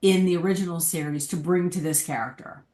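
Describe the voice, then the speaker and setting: A woman's voice: on the low side, steady tone, a little fast, dark tone. A woman chatting casually as a guest on a podcast panel, in roomy sound. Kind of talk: casual chat, discussion.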